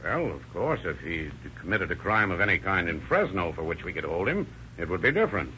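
A shrewd county sheriff who speaks in a measured, authoritative way. His low but bright voice moves a lot in pitch, in 1940s radio acting on a dull, faintly hissing recording. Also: big pitch swings, full character voice.

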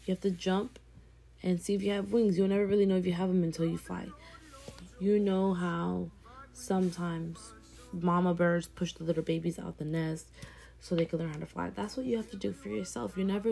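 A woman in her twenties talks straight to her viewers in an earnest, encouraging way. Her voice sits low and stays fairly level, with a gravelly edge of vocal fry running through it. She often repeats a phrase to drive it home. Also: flat delivery, fairly quick, mellow. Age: 20s. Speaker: vlogger. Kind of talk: casual motivational talk to camera.

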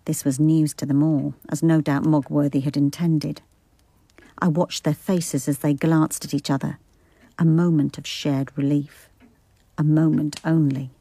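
An actress playing an observant, dry female detective, narrating a radio drama in an understated, measured way. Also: low-pitched, level tone, dark tone, dry recording. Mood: watchful.

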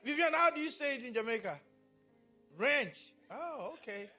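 A man's voice: very high voice, moderate pace, soft background music. A man from Ghana with a Ghanaian accent, preaching a sermon and talking back and forth with his congregation. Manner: animated, talks with the congregation. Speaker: pastor.

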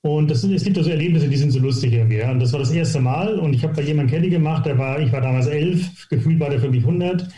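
A man in his sixties from near Hamburg, a golf coach telling lively, self-ironic anecdotes as a podcast guest. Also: on the high side, fast, few pauses. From northern Germany, near Hamburg. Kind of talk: casual interview storytelling. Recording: roomy echo.

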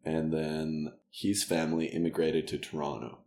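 A man with Russian as his first language, hosting a podcast in casual chat, joking and laughing often as he tells halting stories. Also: very deep voice, little pitch movement, a little mellow, echoey room, slight background noise. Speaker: podcast host.

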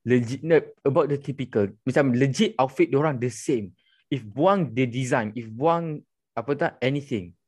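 A young Malaysian man with a Malaysian accent, talking casually and switching back and forth between Malay and English. His voice sits at an ordinary middle pitch, and he talks in an animated way and swears freely. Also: normal speed, slightly rough. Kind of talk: casual chat.

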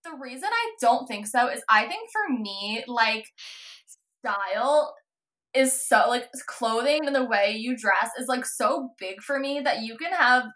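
A woman's voice: a little high, unhurried. A young woman co-hosting a podcast, chatting casually and animatedly, with lots of "like". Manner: animated, heavy use of "like". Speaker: podcast co-host. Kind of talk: casual chat.

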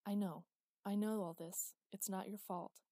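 A woman voicing a grieving young woman who speaks softly but with quiet command. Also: mid-range voice, fairly even intonation, a little slow, no echo, studio quality, close to an everyday voice. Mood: tender, grave.

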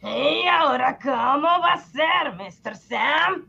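A woman's voice: sits high, animated, takes it slowly, gravelly, bright, forceful tone, dull audio, exaggerated voice. A woman playing a skeptical government minister in a satirical radio drama, asking pointed questions. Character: skeptical, probing government minister of a poor country.

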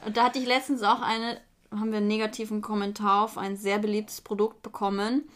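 A woman's voice: medium pitch, little pitch movement, fairly quick, a crowd in the background. A young woman, a guest in a casual interview, talking eagerly and rambling a little. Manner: eager, rambling, quotes messages. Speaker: influencer and business owner, guest.

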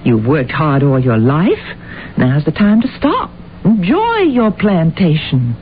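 An actress playing a middle-aged housekeeper, bossy but caring, scolding and fussing in an old-time radio drama. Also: female voice, low voice, very animated, takes it slowly, full character voice. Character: bossy, caring, plain-spoken housekeeper to a rich man. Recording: thin phone-like old radio, faint noise.

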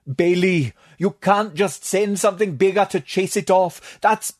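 A man's voice: high-pitched, measured pace, a little mellow, pitched above the performer's own voice. A man voicing a timid young male fishlike alien who pleads and frets anxiously. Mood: alarmed.

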